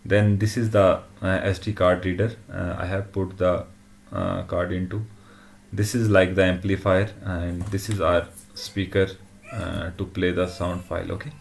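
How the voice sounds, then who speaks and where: A man presenting an informal technical demo in a halting way, often checking in with short tag questions. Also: low-pitched, level tone, vocal fry, a little hiss or hum. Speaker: tutorial presenter.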